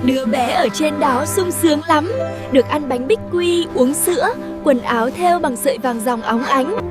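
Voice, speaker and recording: A woman's voice: high-pitched, moderate pace. A woman narrating a scripted fairy tale for children over music. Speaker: storyteller.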